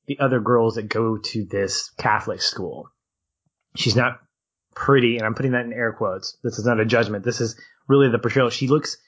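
A man from Arkansas who dropped his Southern accent talks in a casual film discussion on a podcast. He is animated, laughs easily and often restarts his sentences. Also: medium pitch, highs cut off.

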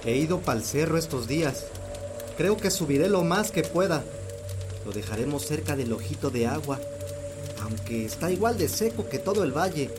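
A man's voice: fairly high pitch, big pitch swings, normal speed, music in the background. An actor playing a worried young father who pleads earnestly for help. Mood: pleading. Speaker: actor in a sound drama.

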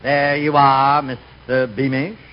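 An actor playing a fussy, polite bank clerk in a 1940s radio comedy. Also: male voice, medium pitch, level tone, slow, natural voice. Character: fussy, polite male bank clerk, easily amused and astonished. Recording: muffled, noisy 1940s radio recording.

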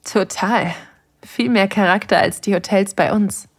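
A woman's voice: medium pitch, fairly even intonation, no echo, clean studio sound. An actress playing a playful, sensual woman on holiday, narrating intimately in an audio drama and slipping into whispers. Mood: casual. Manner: breathy, slow, sensual delivery slipping into whispers.

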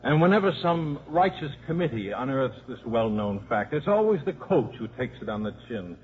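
A man's voice: medium pitch, big pitch swings, moderate pace, lightly acted. An actor playing a cynical, sardonic American ex-football coach and father in a 1950s radio drama. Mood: bitter. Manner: wry, sardonic. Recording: muffled, noisy 1950s radio recording.

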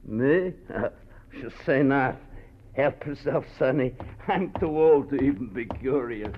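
A man's voice: mid-range voice, animated, measured pace, lightly acted. A man playing an obliging, easygoing old man with a folksy, hesitant delivery in a 1940s radio drama. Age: older. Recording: muffled, noisy old radio.

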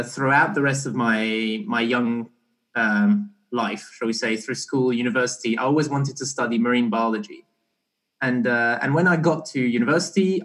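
Young male podcast guest, mid-pitched, relaxed, halting delivery with lots of restarts. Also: low-quality recording. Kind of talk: casual conversational interview.

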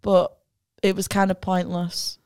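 A woman's voice: lowish voice, a little slow, vocal fry, no room sound. Chatty woman, dry close sound. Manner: chatty, animated storytelling with many fillers. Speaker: podcast co-host. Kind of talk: casual chat.

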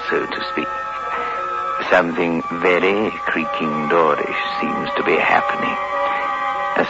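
Macabre, tongue-in-cheek host, bottomless bass, unhurried drawl, vintage horror radio. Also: male voice. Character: sly, droll horror-show host, relishing the macabre. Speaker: narrator host. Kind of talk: 1960s radio horror hosting. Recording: muffled old radio recording. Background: loud music.

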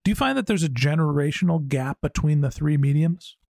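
A man's voice: fairly high pitch, lively intonation, brisk, vocal fry, darkish tone, no echo, studio quality. A man speaking as a podcast host, in interview talk and sponsor reads.

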